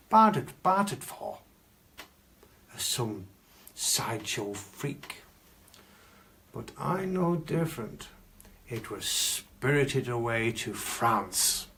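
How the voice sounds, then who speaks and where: A man playing a pompous Victorian gentleman collector, telling macabre tales in a grandiloquent, theatrical way with dramatic pauses. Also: mid-range voice, animated, slight background noise. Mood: grave, dramatic. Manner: grandiloquent, archaic phrasing, dramatic pauses and stresses. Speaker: costumed storyteller. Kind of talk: theatrical live storytelling.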